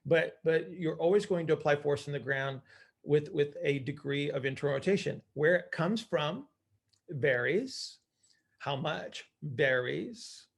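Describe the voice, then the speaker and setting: A man's voice: a little high, unhurried, gravelly. A man leading an informal group call as coach, teaching emphatically by posing questions and answering them himself. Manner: emphatic, asks and answers own questions, often checks with "right?".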